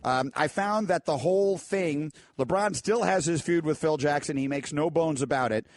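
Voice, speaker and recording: A man hosting a sports talk radio show in a studio, upbeat and brisk, often restarting his sentences. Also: a little high. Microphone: studio microphone.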